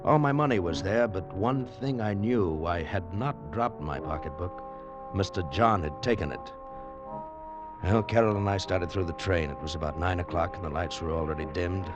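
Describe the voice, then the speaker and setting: A man's voice: low-pitched, moderate pace, muffled recording, natural voice. An actor playing a smitten, gallant traveler who narrates his train adventure in 1940s radio style. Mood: wistful narrating. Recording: vintage radio drama with organ music.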